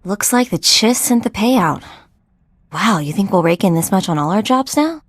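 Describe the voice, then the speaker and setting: A woman's voice: medium pitch, lively intonation, normal speed, dry recording, clean studio sound, close to an everyday voice. A young woman playing an upbeat, casual sidekick in a sci-fi video game, breezy and chatty. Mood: pleased. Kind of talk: video game character acting.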